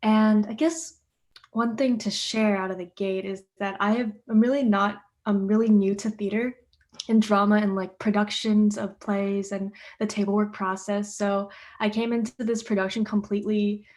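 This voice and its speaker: A woman's voice: medium pitch, level tone, normal speed, darkish tone. A teenage girl, a playwright, introducing herself earnestly and modestly on a video call. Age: teens. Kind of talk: casual introduction.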